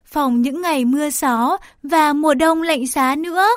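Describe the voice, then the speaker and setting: A woman narrating a scripted children's story in a careful, clearly phrased read-aloud manner. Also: high voice, a little slow, dry recording, studio quality.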